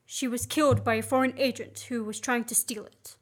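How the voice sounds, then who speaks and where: A woman's voice: on the high side, fairly even intonation, fairly quick, natural voice. A woman acting in a student radio play as an earnest, wary man on the run, with a polite, restrained manner. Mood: guarded. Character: earnest, wary male fugitive visitor.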